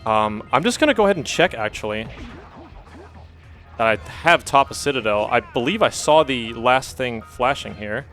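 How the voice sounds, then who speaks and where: A man's voice: mid-range voice, animated, normal speed, fairly bright tone, faint music behind. A man from Denmark giving casual, chatty live commentary as a speedrunner, with an easygoing manner.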